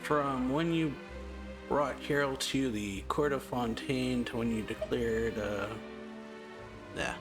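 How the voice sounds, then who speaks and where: A man's voice: medium pitch, slow pace, music in the background. A man reacting casually to a game cutscene with short, wry murmured comments. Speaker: reaction channel host.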